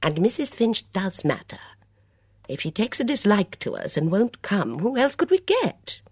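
A woman's voice: medium pitch, very animated, normal speed, darkish tone, husky, dry recording, studio quality, voice pushed up. A woman voicing a chattering, fussy middle-aged mother who prattles with disapproving asides. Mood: scolding.

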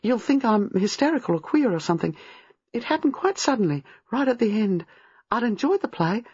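A woman reading a storybook, playing a distraught young married woman who is frightened, halting and agitated. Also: mid-range voice, sing-song, husky, no room sound, dull audio, voice pushed up. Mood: nervous, agitated. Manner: agitated, halting, rushing in bursts.

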